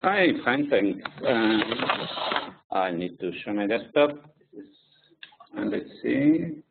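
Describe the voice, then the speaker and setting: A man's voice: mid-range voice, big pitch swings, slow pace, creaky, very noisy. Non-native man presenting a coding webinar. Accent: French-Spanish. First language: French and Spanish. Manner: hesitant, with many fillers. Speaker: software engineer presenter. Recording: distant tinny phone-like audio.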